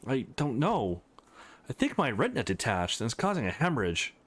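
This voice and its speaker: A man's voice: medium pitch, huge pitch swings, brisk, husky. A man voicing a petite, shy young woman in a visual novel, with a hesitant, awkward manner. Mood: pained.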